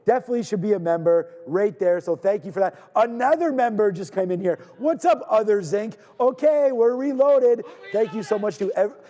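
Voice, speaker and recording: A man from Canada hosting a live trading stream, talking in energetic, rambling casual banter, repeating words and shouting out viewers. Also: high voice, moderate pace, few pauses, in a large hall.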